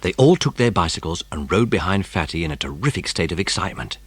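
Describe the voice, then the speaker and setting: A man narrating a children's story in an expressive, dramatic storytelling manner. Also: deep voice, fast talker, breathy edge, dry recording.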